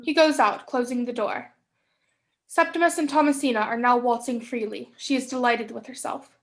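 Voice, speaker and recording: High-voiced young woman narrating. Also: normal speed. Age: young adult. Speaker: stage directions reader. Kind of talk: scripted narration.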